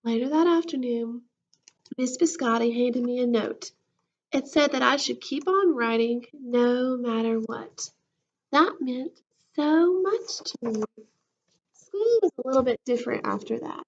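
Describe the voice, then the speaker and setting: A woman reading a children's story aloud in an expressive, animated way, like a school counselor with a class. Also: high voice, measured pace, dull audio.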